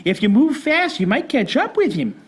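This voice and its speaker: Brash slangy street seller, high voice, swoops around, comic radio drama. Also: female voice, big pitch swings, normal speed, slightly rough, heavily acted. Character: indignant, slangy street script vendor. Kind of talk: comic radio play acting.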